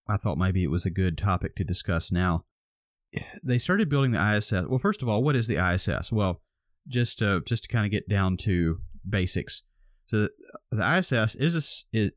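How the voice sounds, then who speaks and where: A man's voice: lowish voice, no room sound, studio quality. A man co-hosting a podcast, explaining a topic step by step in casual chat, a little groggy. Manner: explains step by step with many restarts. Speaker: podcast co-host.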